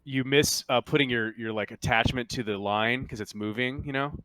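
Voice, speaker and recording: A man acting as game master, narrating and ruling casually, haltingly, often thinking aloud. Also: mid-range voice, steady tone, pushed and bright, dry recording.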